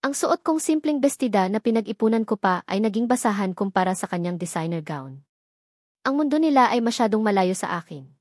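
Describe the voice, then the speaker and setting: A woman's voice: mid-range voice, lively intonation, fairly quick, no room sound, clean studio sound. A woman with a Filipino accent reading a scripted first-person romance story as a storyteller.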